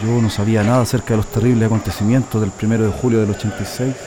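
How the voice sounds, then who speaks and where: A man playing a Chilean political prisoner in a radio drama, guarded and halting in his speech. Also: fairly low pitch, little pitch movement, very noisy, natural voice. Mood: somber, recollecting. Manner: halting, stammering.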